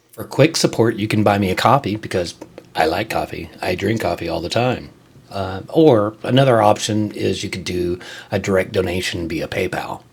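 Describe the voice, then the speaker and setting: Man, lowish voice, show host, casual vlog delivery, conversational, halting with frequent pauses. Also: gravelly, husky. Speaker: host of a creator video show, musician. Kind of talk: casual scripted-and-ad-libbed vlog to viewers.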